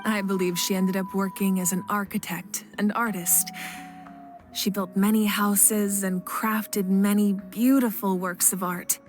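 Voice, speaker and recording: A woman's voice: medium pitch, flat delivery, measured pace, lightly acted. A woman as composed, noble young warrior queen. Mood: fond, reflective. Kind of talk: video game voice acting. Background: faint background music.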